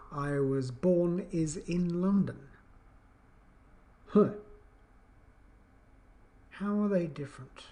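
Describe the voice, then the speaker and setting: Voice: medium pitch, darkish tone. An English teacher giving an explanatory video lesson with an emphatic, questioning, teacherly delivery.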